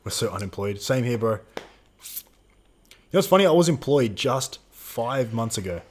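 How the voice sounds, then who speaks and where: A man's voice: mid-range voice, expressive, moderate pace. A man in his twenties co-hosting a live Q&A stream, chatting casually and reading out viewer questions. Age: 20s. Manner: casual slangy, swears, reads out chat questions.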